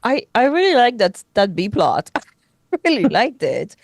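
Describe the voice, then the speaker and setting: A young adult woman whose first language is French, co-hosting a podcast in casual chat, animated, laughing often and restarting sentences. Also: a little high, measured pace, slight background noise. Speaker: podcast co-host.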